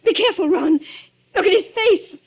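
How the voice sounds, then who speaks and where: A woman's voice: very high-pitched, normal speed, background noise. An actress playing a tense, defiant woman cornered by blackmail in a 1940s radio thriller, with a dramatic, bitter delivery. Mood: fearful warning. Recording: muffled 1940s radio recording.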